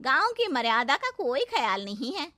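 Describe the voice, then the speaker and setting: Woman acting a shrill, taunting village gossip. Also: sing-song, normal speed, bright, forceful tone, no room sound, studio quality, heavily acted. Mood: mocking. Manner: mocking, nagging. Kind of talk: moral story cartoon voice acting.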